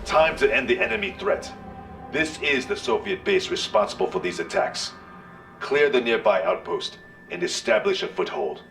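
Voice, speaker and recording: A man's voice: high voice, lively intonation, moderate pace, gravelly, a bit bright, distant mic, soft background music, full character voice. An actor playing a solemn, imperious, vengeful Japanese prince giving dramatic orders to his army in a video game. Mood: imperious resolve.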